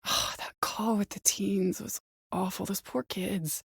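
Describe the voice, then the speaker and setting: A woman's voice: mid-range voice, steady tone, a little slow, gravelly, husky, no echo, heavily acted. Coaxing, insistent woman caller, close and dry. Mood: sweetly insistent. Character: desperate, coaxing woman caller hiding a vengeful, menacing streak. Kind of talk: video game voice acting, dramatic dialogue.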